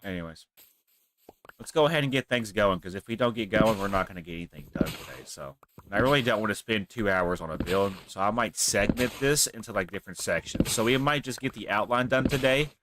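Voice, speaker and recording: A man, hosting his own gaming channel, rambling and thinking out loud as he plays, his nose stuffy from a cold. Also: fairly low pitch, fairly quick, background noise. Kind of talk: casual gameplay commentary.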